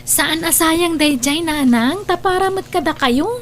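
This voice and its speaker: A woman's voice: very high voice, a little slow, a little hiss or hum. A woman playing a caring, hardworking young adult daughter in an Ilocano audio drama, speaking with an Ilocano accent.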